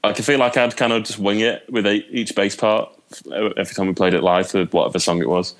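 A young man with an English accent and a very low voice, chatting casually as a podcast guest from his bedroom, rambling with lots of fillers. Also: fairly even intonation, brisk. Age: young adult. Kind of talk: casual chat.

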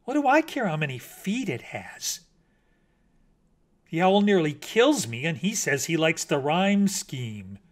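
A man's voice: fairly high pitch, very animated, slow, pauses often, heavily acted. A man voicing a small male bat poet in a storybook, earnest, naive and hesitant, easily wounded. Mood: bitter, hurt.

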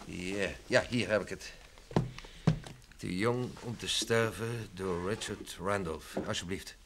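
Affable, lowish-voiced male publisher. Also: slow, heavy background noise. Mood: affable, obliging. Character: Norman, affable male book publisher, obliging and businesslike. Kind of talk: old Dutch radio drama acting.

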